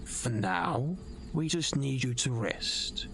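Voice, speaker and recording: A man playing a scheming, condescending nobleman in an audio drama, gravelly and whispery, with patronizing, theatrical delivery. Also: medium pitch, lively intonation, slow pace, a bit bright, a little hiss or hum. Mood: soothing. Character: scheming, condescending nobleman, silky villain plotting a coup.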